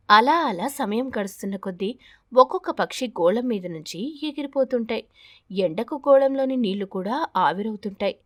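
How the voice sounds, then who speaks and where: High-voiced woman narrating children's stories. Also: no echo, studio quality. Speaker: storyteller. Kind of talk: scripted narration of children's animated moral stories.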